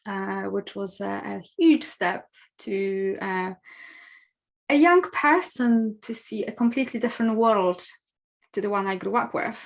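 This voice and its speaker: A woman's voice: medium pitch, unhurried, gravelly. A woman in her thirties with a Polish accent tinged with Scottish, speaking reflectively as a podcast guest. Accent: Polish with a touch of Scottish. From Poland. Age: 30s. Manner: reflective, thoughtful with frequent fillers. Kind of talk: conversational interview.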